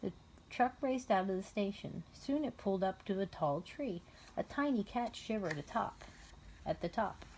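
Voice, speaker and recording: A woman narrates a bedtime storybook at a middle pitch, with faint noise behind her. She breaks off into casual asides, explaining things simply and patiently for a small child. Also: animated, normal speed, a little mellow. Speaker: mother reading a bedtime storybook aloud.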